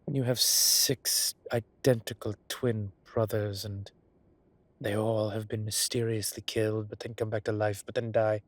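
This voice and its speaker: A man playing a suave, gossip-loving gentleman boss in an intimate audio roleplay, arch and playfully dramatic, with breathy, drawling delivery. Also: on the low side, level tone, a little slow, no room sound, natural voice. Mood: theatrical, gossipy. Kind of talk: scripted intimate roleplay acting.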